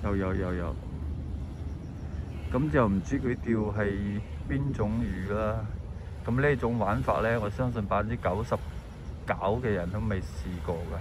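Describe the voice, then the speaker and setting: Cantonese guy vlogging by the sea, lowish voice, lots of background noise. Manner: chatty, unhurried, lots of fillers. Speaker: fishing vlogger. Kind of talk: casual narration over outdoor footage. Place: outdoors by the sea. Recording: outdoor noise behind the voice.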